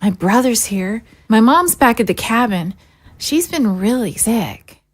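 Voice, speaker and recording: Woman as a high, husky, timid young recruit. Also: animated, unhurried. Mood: earnest. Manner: hesitant, meek. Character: timid, apologetic young female recruit, curious and kind. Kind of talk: audio drama acting.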